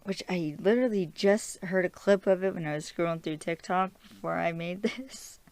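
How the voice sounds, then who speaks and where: A woman with a low voice hosts a casual fan recap of a TV episode. She rambles in a friendly way, leaning on fillers and breaking into small giggles. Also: moderate pace, darkish tone, dry recording. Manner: chatty, filler-heavy, giggly. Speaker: podcast host.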